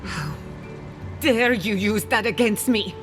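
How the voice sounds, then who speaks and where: A woman's voice: medium pitch, takes it slowly, over music, lightly acted. An actress voicing a passionate, defiant young female scholar in a video game, speaking with emphasis. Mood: hurt, defiant. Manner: impassioned, emphatic.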